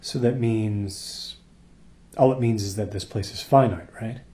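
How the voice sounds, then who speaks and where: An actor playing a deferential, unsettled junior crewman on a space station in a radio play, speaking in a halting, pensive way. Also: on the low side, warm tone, close to an everyday voice. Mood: doubtful. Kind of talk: naturalistic radio play acting.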